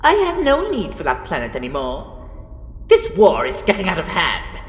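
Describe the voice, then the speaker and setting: A woman's voice: on the low side, huge pitch swings, normal speed. A woman voicing a haughty, gloating male emperor of fire, a menacing and theatrical villain in a fantasy cartoon. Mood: cold menace. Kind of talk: animated fantasy villain acting. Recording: echoey hall with long reverb.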